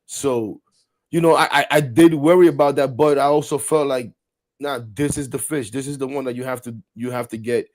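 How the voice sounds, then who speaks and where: A man in his forties hosting a casual sports talk show, animated and rambling, repeating words and laughing often. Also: medium pitch, fairly quick. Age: 40s. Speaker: podcast host.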